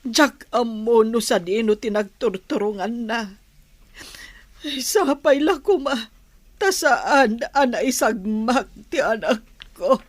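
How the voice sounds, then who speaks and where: A woman's voice: on the high side, animated, slow, dry recording. A woman acting a worried, sorrowful mother in an Ilocano audio drama, pleading as she speaks. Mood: worried, sad.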